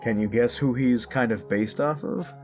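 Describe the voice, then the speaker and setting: A man's voice: mid-range voice, normal speed, a little mellow, soft background music. A man talking casually to his audience as the creator of an audio roleplay channel. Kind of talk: casual outro addressed to audience.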